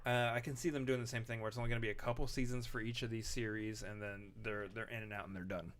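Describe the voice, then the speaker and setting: A man co-hosting a live-streamed chat, speaking quietly and rambling with plenty of fillers and restarts close to the microphone. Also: on the low side, level tone, fast talker. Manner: not boisterous, quieter delivery. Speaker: podcast co-host.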